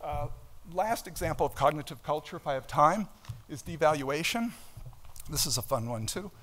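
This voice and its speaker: A man's voice: a little high, expressive, normal speed, a bit bright. An older man, a professor giving a conference lecture in a conversational, explanatory way, emphatic, with rhetorical questions and asides. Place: indoor auditorium stage at a lectern.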